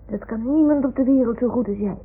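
A woman's voice: fairly high pitch, quick pace, a little hiss or hum, natural voice. A woman playing a young boy who talks to his toys in a radio play, earnest and childlike as he explains things. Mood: earnest, gentle. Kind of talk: 1960s-70s Dutch radio drama acting. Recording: muffled, phone-like old radio recording.

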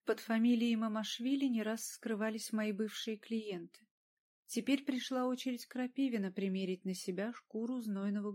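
A woman reading first-person fiction as an audiobook narrator, with expressive storytelling. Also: mid-range voice.

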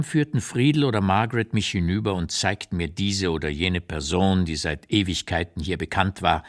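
A man playing an old émigré writer who recalls wartime years in a radio reading, with deliberate, emphatic, literary phrasing and an unsparing eye. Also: fairly low pitch, natural voice. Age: older. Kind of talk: dramatised literary memoir reading, radio play.

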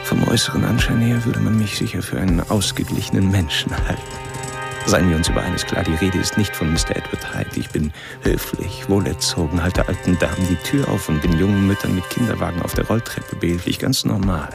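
An actor playing a polite, ironic, quietly sinister man confiding a measured, self-righteous monologue in a radio drama. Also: low voice, creaky, music in the background. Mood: wry, confiding. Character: polite, ironic, secretly sinister male narrator confiding his thoughts.

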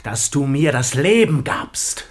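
An actor playing a tormented, vengeful son and brother-killer, biting and declamatory in archaic radio play style. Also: male voice, mid-range voice, very animated, takes it slowly. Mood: tormented, accusing. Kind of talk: German radio play acting.

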